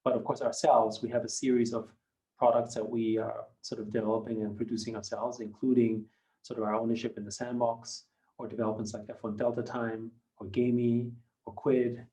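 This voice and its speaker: A man in his fifties, a German native speaker who grew up in Austria, answering interview questions in a measured, reflective way with many hedging fillers. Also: medium pitch, level tone, dark tone, rough recording. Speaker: company founder and guest. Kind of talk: podcast interview.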